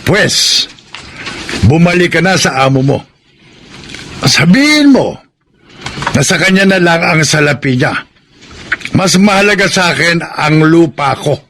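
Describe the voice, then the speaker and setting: Radio drama grandfather: proud elderly Filipino farmer, gravelly fry, firm admonishing delivery. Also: on the high side, lively intonation, measured pace, pauses often, a bit bright, a little hiss or hum. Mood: firm, defiant. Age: older.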